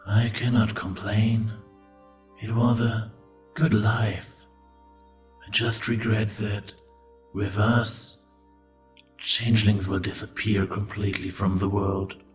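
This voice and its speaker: A man's voice: lowish voice, little pitch movement, takes it slowly, pauses often, music in the background. A man playing a loyal, resigned creature medic in an audio drama, solemn and deferential toward his queen. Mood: grave resignation.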